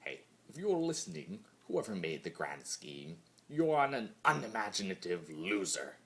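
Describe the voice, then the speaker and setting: A man's voice: on the low side, very animated, unhurried, gravelly, fairly bright tone, heavily acted. A man voicing a bitter, sarcastic chaos creature from a pony cartoon in a theatrical dramatic monologue. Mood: bitter, resigned. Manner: theatrical, sarcastic, swings between mutters and mocking outbursts. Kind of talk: dramatic monologue reading of fan fiction.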